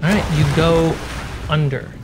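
A man narrating a tabletop game as game master, telling the story deliberately and dramatically with pauses. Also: a little high, steady tone. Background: background music.

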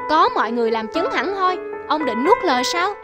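A woman's voice: high-pitched, sing-song, a little fast, music in the background. Woman doing a sly young woodcutter. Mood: triumphant. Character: clever young male woodcutter, calm and sly. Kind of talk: animated folk tale voice acting.

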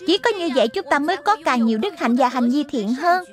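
A woman's voice: high voice, lively intonation, brisk, faint music behind. A woman voicing a small, childlike lion spirit in a children's cartoon dub, explaining things earnestly and patiently like a teacher. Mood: patient teaching. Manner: didactic, explanatory.

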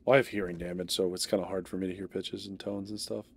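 A man's voice: deep voice, little pitch movement, quick pace, gravelly, husky. A man streaming a horror game, chatting casually with a friend and muttering to himself with sighs. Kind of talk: casual gaming chat.

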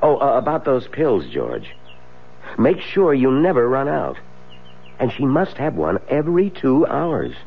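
An actor playing an authoritative older professional man in a 1970s radio drama, deliberate and persuasive, with pauses. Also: medium pitch, wildly swooping pitch, vocal fry, breathy edge, full character voice. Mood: measured, grave. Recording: muffled, faintly noisy 1970s radio recording.

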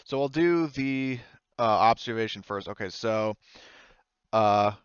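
A man's voice: fairly low pitch, unhurried, smooth, no room sound, highs cut off. A man working as game master, narrating and explaining in a hesitant, rambling way during a tabletop roleplaying game.

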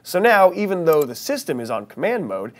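An American man in his thirties presenting a scripted but conversational software tutorial in an animated, playful way. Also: fairly high pitch, a little fast. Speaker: software training instructor.

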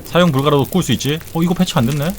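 A man's voice: on the high side, rapid-fire, slight background noise. A man in his forties, a game streamer, chatting casually with viewers in a joking, rambling way with blunt swearing. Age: 40s. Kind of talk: casual live-stream chat while gaming.

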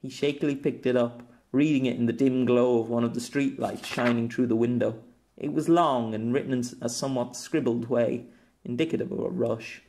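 A man's voice: mid-range voice, fairly even intonation, brisk, darkish tone. A man reading a scripted fiction story as a dramatic audiobook narrator.

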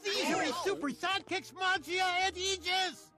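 An actor playing an excitable, anxious toy dinosaur in a video game, gushing and nervous. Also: male voice, very high-pitched, moderate pace, soft background music, exaggerated voice. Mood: starstruck.

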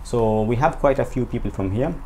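A man in his thirties from Zanzibar, answering interview questions and explaining in a halting, pausing way. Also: medium pitch, a little mellow. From Zanzibar, Tanzania. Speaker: interview guest, company owner. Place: small indoor office, seated at a desk. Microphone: lapel. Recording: clear background noise, room ambience.

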